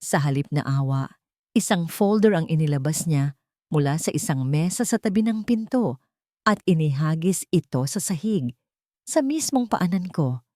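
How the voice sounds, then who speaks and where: A woman's voice: low voice, lively intonation, normal speed, warm tone, dry recording, clean studio sound. A woman reading a scripted, first-person dramatic story as its narrator.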